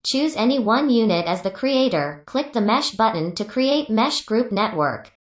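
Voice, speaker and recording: A woman's voice: fairly high pitch, animated, unhurried, roomy sound. A woman giving scripted product tutorial narration in clipped, step-by-step instructions.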